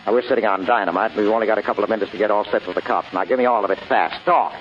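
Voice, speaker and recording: An actor playing a hard-boiled, cynical private detective in a 1940s radio drama, with clipped, sardonic delivery. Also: male voice, lowish voice, racing, lightly acted. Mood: tough. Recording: dull old radio recording with faint hiss.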